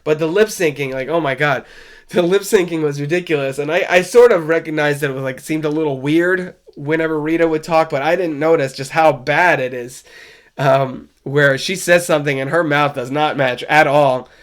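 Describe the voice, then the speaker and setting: A man's voice: fairly high pitch, moderate pace. A man with a stuffy, congested voice from a cold, hosting a podcast and chatting casually and wryly as he reviews a show. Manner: wry, conversational, loose and rambling. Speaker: podcast host. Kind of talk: casual solo film review chat.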